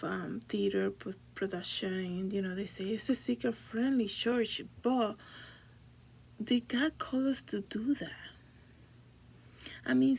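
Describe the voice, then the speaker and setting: A woman from the Dominican Republic speaks casually to her online viewers. Her mid-pitched voice is husky and full of creak. She talks hesitantly, often searching for the right word. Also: darkish tone. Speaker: video blogger. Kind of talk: casual personal talk to viewers.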